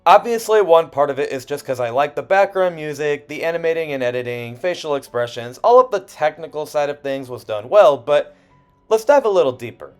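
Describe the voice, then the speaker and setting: A man's voice: on the high side, animated, brisk. A man reading a scripted video essay in an earnest, personal way with emphatic phrasing. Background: background music.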